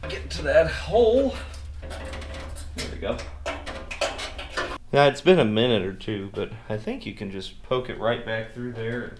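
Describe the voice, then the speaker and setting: A man's voice: medium pitch, expressive, unhurried, long pauses. Folksy tongue-in-cheek man, noisy workshop recording. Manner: folksy, tongue-in-cheek with mock-serious asides. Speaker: host of a car repair video channel. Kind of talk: casual DIY vlog narration while working. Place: garage workshop.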